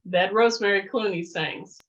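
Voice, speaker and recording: A woman telling personal memories as an interview guest, in a casual, halting, reflective way over a video call. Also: mid-range voice, highs cut off. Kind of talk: casual storytelling, reminiscing. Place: remote virtual interview.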